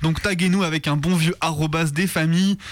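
A man's voice: a little high, steady tone, fairly quick, no room sound. A man with a French accent hosting on radio, speaking into a studio microphone. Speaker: radio host. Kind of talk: live radio chat, then reading a documentary script.

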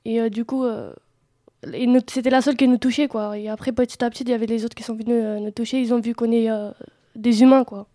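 High, mellow young woman. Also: fairly even intonation, quick pace, dry recording, studio quality. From Romania. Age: young adult. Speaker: youth contributor giving testimony. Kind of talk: casual personal storytelling.

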